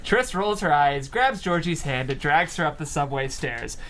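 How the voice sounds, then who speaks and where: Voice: medium pitch, lively intonation, moderate pace, fairly bright tone, slight background noise. A person reading stage directions aloud at a screenplay table read.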